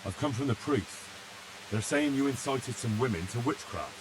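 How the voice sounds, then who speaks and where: An actor playing a skeptical, wry young medieval hero in a video game, with a dry, questioning manner. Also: male voice, mid-range voice, expressive, normal speed, over music, natural voice, rough recording. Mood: direct. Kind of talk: dramatic video game acting.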